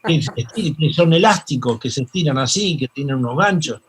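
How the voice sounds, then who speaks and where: An older Argentine man with a Rioplatense accent, a psychoanalyst telling stories in an interview, halting with many fillers and restarts. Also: fairly high pitch, lively intonation, a little hiss or hum. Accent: Rioplatense Spanish. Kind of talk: reflective interview storytelling.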